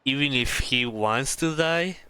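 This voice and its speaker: A man's voice: mid-range voice, measured pace, sharp tone, smooth, dry recording. A man giving casual, reactive commentary while playing a game, with frequent interjections. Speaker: streamer.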